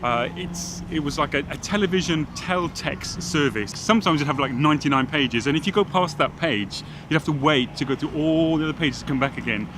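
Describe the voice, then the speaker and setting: A chatty, self-assured man with a Welsh accent that carries a Geordie tinge, his voice sitting a touch high for a man. He is recorded on a phone outdoors, with traffic and city noise clearly audible behind him. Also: fairly quick, few pauses. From Wales. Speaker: celebrity fashion stylist. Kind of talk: casual on-the-street chat. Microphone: phone camera held by the other person.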